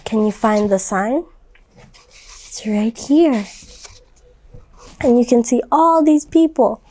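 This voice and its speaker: A woman reads a storybook aloud for small children in a fairly high voice. She goes very slowly, explains things in simple phrases and often asks the listener questions. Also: expressive, darkish tone. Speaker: volunteer children's book reader.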